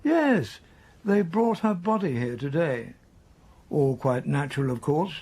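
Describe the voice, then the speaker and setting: An actor playing a brisk, wry British colonel in a radio drama, with a British accent and clipped, telegraphic sentences. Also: male voice, fairly high pitch, lively intonation, a little mellow. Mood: brisk, suspicious.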